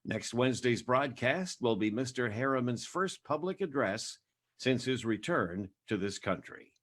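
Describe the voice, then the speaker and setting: An actor playing a formal, measured announcer on 1940s network radio. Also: male voice, mid-range voice, animated, fairly bright tone.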